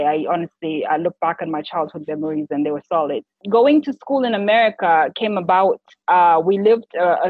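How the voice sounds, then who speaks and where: A woman's voice: on the low side, no room sound. A woman in her thirties from Zimbabwe, a PR and communications strategist, talking about her career in a conversational interview with many restarts and fillers. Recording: tinny phone-like line.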